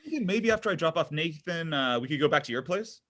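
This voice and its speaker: A man reads an anxious young boyfriend who fumbles and laughs nervously. His voice is bright and lifts a little high, swinging widely in pitch. He talks in a fidgety, needy way. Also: expressive, brisk. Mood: pleading. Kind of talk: table read of a comedy script.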